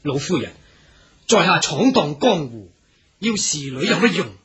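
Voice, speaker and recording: An actor playing a courteous, high-minded young gentleman wanderer in a period radio drama, speaking Hong Kong Cantonese with formal humility. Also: very animated, unhurried, crowd noise. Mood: polite, protesting. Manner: formal, humble, archaic courtesy.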